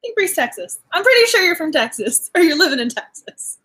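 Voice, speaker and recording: High-voiced, animated woman chatting books. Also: brisk. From Massachusetts. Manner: animated, laughs often, acts out reactions. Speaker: book club host. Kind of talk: casual book discussion.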